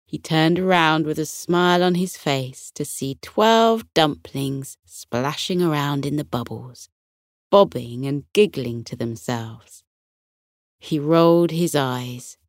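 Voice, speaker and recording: Woman narrator, lowish pitch, very slow pace, gentle expressive children's bedtime storytelling, dry close sound. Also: dry recording.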